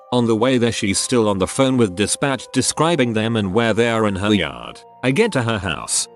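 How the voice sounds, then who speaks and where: A man narrating written online stories as scripted narration, reading them out as a narrator. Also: fairly low pitch.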